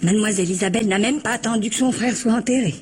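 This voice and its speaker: An actress playing a gossipy, nosy housemaid in a French radio mystery, chatty and theatrically indignant. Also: female voice, medium pitch, racing, slightly rough, natural voice. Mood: indignant gossip.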